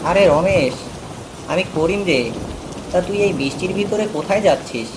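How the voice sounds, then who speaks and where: A man's voice: high voice, normal speed, background noise, natural voice. A man playing a kindly, familiar village man with goods to carry in a moral-story cartoon, with rain sounds behind him. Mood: friendly, cautious. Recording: music and rain under the voice.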